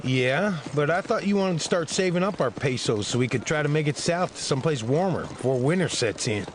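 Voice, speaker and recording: Measured, dry Texan cowboy, played by a man. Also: a little high, lively intonation, a little hiss or hum, close to an everyday voice. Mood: easygoing, practical. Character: calm, steely Texan gunslinger, practical and level-headed. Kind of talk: dramatized Western audiobook acting.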